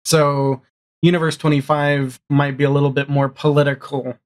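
A man's voice: a little high, flat delivery, normal speed. A middle-aged or younger man chatting casually as a podcast guest, rambling a little as he thinks aloud. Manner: rambling, thinks aloud, often ends on "right?". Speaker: guest, audio drama showrunner. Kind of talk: casual chat. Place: home room, video call.

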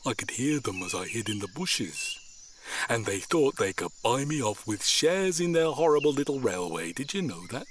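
A man's voice: medium pitch, big pitch swings, normal speed, lightly acted. A male actor playing a naive, simple creature with rustic grammar in an audio drama. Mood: sheepish, defensive. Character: childlike, simple creature guarding its ancestral park. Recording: audible outdoor nature noise.

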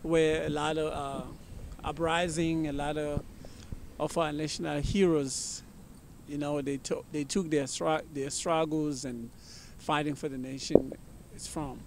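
A young man from South Africa answers interview questions outdoors with a handheld microphone. His voice is a touch high for a man, and he explains things in a relaxed, unhurried way. Faint outdoor noise sits behind him. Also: creaky. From South Africa, Soweto. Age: young adult. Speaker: guest. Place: outdoors in a park lawn.

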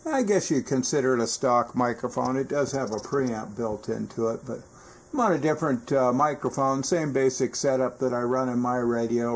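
A man's voice: mid-range voice, slight background noise. An American man with a folksy, slangy way of talking, giving a casual hobbyist demonstration and chatting on CB radio. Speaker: radio repair technician and video host. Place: indoor radio workbench.

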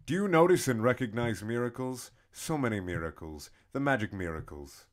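A man's voice: mid-range voice, lively intonation. Man reading with over-the-top drama. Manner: theatrical, swooping emphasis with long pauses. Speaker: comedic reader. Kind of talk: mock-dramatic poetry reading.

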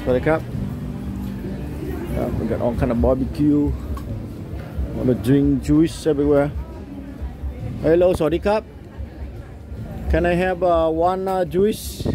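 Guy doing a casual walking travel vlog, bit high pitched, short simple phrases, loud music on the street. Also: unhurried, pauses often. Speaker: travel vlogger. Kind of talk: casual walk-along vlog narration. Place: outdoors on a busy night street market. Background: loud music, passing vehicles.

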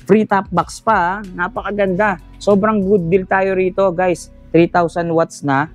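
A man's voice: high voice, little pitch movement, a little slow, soft background music. A man with a Filipino accent, hosting a casual vlog in an e-bike warehouse and eagerly hyping the bikes and deals to his viewers. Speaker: vlogger. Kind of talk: casual product showcase vlog.

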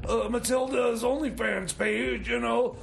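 A man's voice: extremely high pitch, fairly even intonation, measured pace, creaky, slight background noise, exaggerated voice. A man playing a frantic, boozy, scheming mechanic in improvised radio comedy, stammering and repeating words as he rambles. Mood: rambling, flustered. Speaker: comedy sidekick on a radio show.

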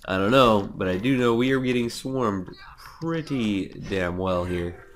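A man's voice: on the low side, a little slow, slight background noise. A young man with a cold, nasal and sniffly, giving casual, sarcastic let's play commentary and swearing now and then. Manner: sarcastic, self-mocking, swears.

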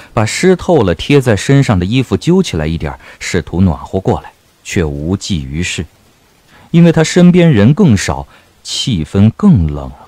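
Male audiobook narrator, wry, theatrical, animated intonation, soft-edged tone, faint music bed. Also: deep voice. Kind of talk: expressive scripted narration of a comic period novel.

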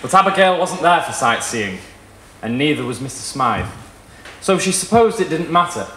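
A young man reading a literary short story aloud in a measured, dramatic way. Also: a little high, sing-song, a bit bright, reverberant, slight background noise. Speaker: reader at a live short story event. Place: small indoor performance room with wood panelling.

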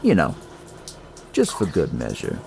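Man, wry storyteller, hardboiled narration, unhurried, lively pitch swings, faint noise. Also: vocal fry.

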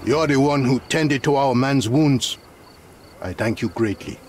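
An actor playing a protective, middle-aged refugee man in a game, grave, wary and measured. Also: mid-range voice, a little mellow, lightly acted. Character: guarded, protective male refugee leader. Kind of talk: dramatic game cutscene dialogue. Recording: faint night insect noise behind.

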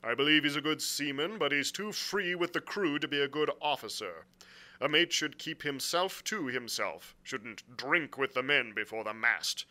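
A man's voice: a little high, moderate pace, sharp tone, pitched above the performer's own voice. A man voicing a stern, stubborn ship's captain in an adventure story, clipped and plain-spoken. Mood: blunt, disapproving.

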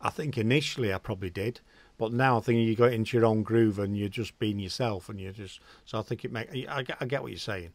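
A man's voice: fairly low pitch, quick pace, no echo. A middle-aged man in his forties hosting an online radio show from a garden cabin studio, chatty and jokey, talking to a guest over a headset mic. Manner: chatty, jokey, says mate a lot. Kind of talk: casual interview chat. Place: small home studio cabin. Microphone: headset with desk mic, video call.

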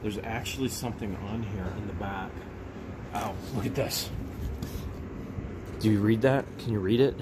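A man's voice: lowish voice, fairly even intonation, moderate pace, long pauses, very noisy. A man hosting a casual unboxing vlog, exclaiming and repeating himself as he talks directly to viewers. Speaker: vlog host.

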